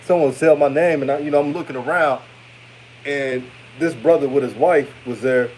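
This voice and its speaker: A man's voice: medium pitch, moderate pace, darkish tone, slight background noise, rough recording. A thirty-year-old man with an older-sounding radio voice, hosting a casual sports livestream and chatting conversationally with viewers. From Houston, Texas, United States. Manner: conversational, addresses viewers as family. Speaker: sports show host. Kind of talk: casual livestream postgame chat, reading and answering comments.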